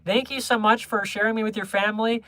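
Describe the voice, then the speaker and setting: A young adult livestreamer chatting casually with viewers, rambling through many restarts and small laughs in a low-key, homebody way. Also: steady tone, quick pace, sharp tone, dry recording.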